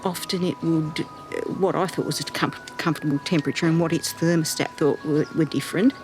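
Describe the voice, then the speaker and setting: Woman householder, low-ish, relaxed and chatty. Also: a little fast, music in the background. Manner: chatty, plain-spoken. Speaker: householder interviewee. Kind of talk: casual interview answers.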